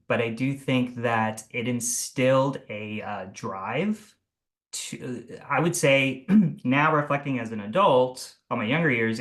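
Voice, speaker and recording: A man's voice: mid-range voice, a bit bright. A man in his thirties from Italy, a real estate agent telling his life story in a casual video call interview, rambling and laughing as he goes. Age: 30s. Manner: rambling, self-correcting, laughs often.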